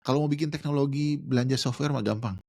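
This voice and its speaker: Brief: man, gravelly, dry close sound, patient explainer, slangy with English words. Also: mid-range voice, fast talker, a little mellow, no echo. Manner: explains with examples, slangy Jakarta register, mixes in English words. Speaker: guest. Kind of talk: casual podcast chat.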